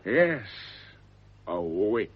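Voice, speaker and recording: An actor playing an authoritative, excitable gentleman investigator with an RP English accent in a radio drama. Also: fairly low pitch, drawn-out words, slightly rough, phone-quality sound, heavily acted. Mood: fascinated.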